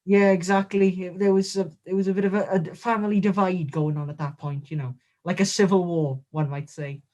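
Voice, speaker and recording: Deadpan boastful man in character. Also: high-pitched, a little fast. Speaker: guest wrestler. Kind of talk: comedic in-character interview.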